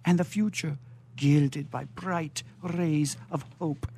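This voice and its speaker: An actor playing a gentle, blind old father in a florid, melodramatic period stage drama, with drawn-out exclamations. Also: a little high, steady tone, creaky, breathy edge, exaggerated voice. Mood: wistful, serene. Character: blind, gentle, aged father living in poverty. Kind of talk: melodramatic 19th-century stage acting. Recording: audible background noise and hum.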